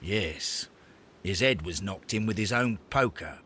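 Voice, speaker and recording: An actor playing a deferential, matter-of-fact Victorian police inspector in a video game, with a husky voice. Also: male voice, sits low, expressive, measured pace, pushed and bright, a little hiss or hum, heavily acted. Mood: earnest, matter-of-fact. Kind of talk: scripted video game acting.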